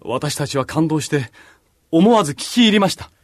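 A man's voice: fairly high pitch, expressive, normal speed, dry recording, lightly acted. A man playing a reflective, devout disciple on a journey in a radio drama, speaking earnestly. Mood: reverent recounting. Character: reflective, devout male disciple, Cleopas's travelling companion.

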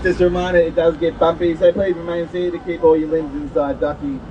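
A man's voice: fairly high pitch, flat delivery, fairly quick, dull audio. A man with an Australian accent giving chatty, informal live commentary as a tour guide. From Gold Coast, Australia. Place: on a moving amphibious tour vehicle. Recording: distant mic, clear vehicle and outdoor noise.

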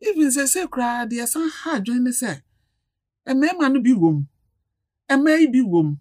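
Lively woman on talk radio. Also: sits high, normal speed, no echo, studio quality. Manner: animated, earnest, laughs easily. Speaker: talk show guest. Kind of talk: casual radio chat.

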